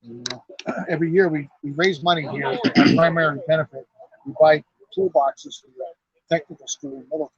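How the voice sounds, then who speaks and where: A man's voice: a little high, fairly even intonation, fairly quick. A middle-aged man, president of a car club and owner of a garage, chatting in an easy, folksy way during an outdoor interview at an evening car show. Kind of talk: casual on-site interview. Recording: dull lo-fi live stream sound.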